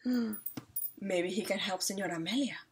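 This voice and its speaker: A young woman reading a picture book aloud to children at home, deliberate and expressive, pausing to ask questions. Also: mid-range voice. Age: young adult. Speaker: read-aloud storyteller. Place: small room at home, indoors.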